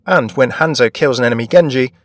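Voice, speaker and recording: A man's voice: mid-range voice, fast, no room sound, studio quality. A man presenting a gaming video in a scripted narration style. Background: background music.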